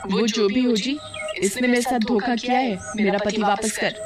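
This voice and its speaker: A woman's voice: on the high side, steady tone, moderate pace, pitched above the performer's own voice, lightly acted. A woman voicing a greedy, hot-tempered wife in a melodramatic cartoon story, whiny and dramatic. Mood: angry. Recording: loud music behind the voice.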